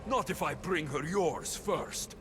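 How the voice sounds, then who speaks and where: An actor playing a confident, wry mercenary warrior hero, blunt and dry, in a video game cutscene. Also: male voice, on the high side, expressive, slow. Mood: defiant, dry.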